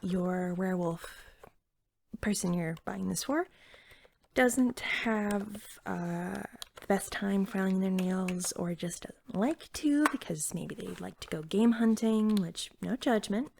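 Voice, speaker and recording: A woman acting as a friendly young-sounding shop cashier in an ASMR roleplay, with a creaky edge to her soft voice. She drifts between gentle speech and whispering, rambling helpfully and eagerly as she serves a customer. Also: medium pitch, slow, slight background noise. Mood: friendly, chatty. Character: eager, friendly female cashier at magical pet store.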